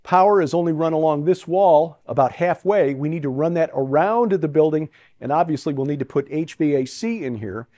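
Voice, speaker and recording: A man's voice: fairly high pitch, a little fast, few pauses, dry recording. Middle-aged man with a lapel mic, dry sound. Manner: relaxed, with frequent fillers. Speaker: ministry host. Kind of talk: casual walkthrough talk to camera. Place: inside a large metal pole barn and outdoors beside it.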